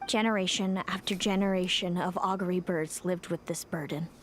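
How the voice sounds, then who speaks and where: Actress as a bitter, low-voiced young seer. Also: female voice, steady tone, moderate pace, slight background noise, natural voice. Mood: solemn explaining. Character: bitter, weary young female seer resentful of fate. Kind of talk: video game voice acting.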